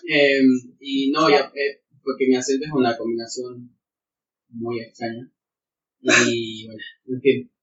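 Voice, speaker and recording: A man's voice: medium pitch, steady tone, slow, pauses often. A young man from northern Argentina introducing himself in a casual group podcast. He is chatty and self-deprecating, with laughs slipped in between phrases. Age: young adult. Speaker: podcast participant. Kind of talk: casual group discussion over a call. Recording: muffled audio with faint noise.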